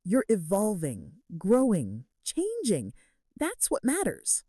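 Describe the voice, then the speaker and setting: A woman's voice: medium pitch, huge pitch swings, slow pace, dark tone, husky, dry recording, studio quality, full character voice. A woman voicing a devoted young female assistant who speaks firmly and earnestly to her lover in a romance audiobook. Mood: firm, tender. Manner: fierce, firm, earnest.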